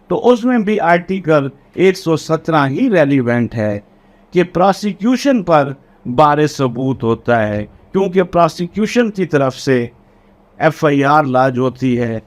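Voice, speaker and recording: Pakistani-accented guy teaching a class, voice a bit on the high side, takes it really slow, says things again in other words. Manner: deliberate, repeats and rephrases key phrases. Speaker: law lecturer. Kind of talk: explanatory exam lecture, code-switching between Urdu and English legal terms.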